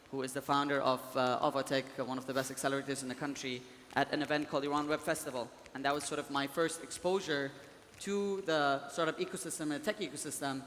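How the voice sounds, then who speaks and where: Fast, flat-voiced moderator in a hall. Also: male voice, mid-range voice, a little hiss or hum. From Germany. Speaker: panel moderator. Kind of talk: moderating a conference panel. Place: conference hall. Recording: reverberant hall with faint ambience.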